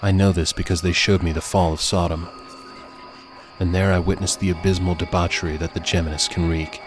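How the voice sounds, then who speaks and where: A man's voice: low-pitched, little pitch movement, a little fast, noisy background, lightly acted. A man voicing a solemn, unshakeable time traveler in an audio story, speaking in grave, formal, archaic phrases. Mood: solemn, certain.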